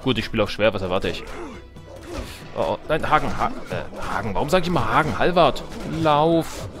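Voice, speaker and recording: A man's voice: mid-range voice, animated, fairly quick. A man giving casual, jokey let's play commentary on a strategy game, muttering to himself and to the game's characters. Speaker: let's player, host. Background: game music and sounds.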